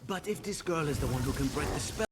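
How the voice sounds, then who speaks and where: An actor playing a suave enchanted household servant in film dialogue, earnest, persuasive and theatrical. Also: male voice, fairly high pitch, animated, fast talker, background noise, close to an everyday voice.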